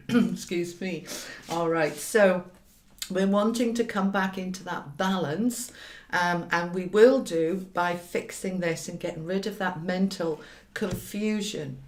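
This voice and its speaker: A woman gives an informal tarot reading in a roomy, echoing space. Her voice is on the low side and slightly croaky. She talks straight to her viewers in a conversational way, repeating phrases for emphasis. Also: slow pace. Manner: conversational, direct address, emphatic repetition. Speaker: tarot reader and hypnotherapist. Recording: roomy, echoey sound.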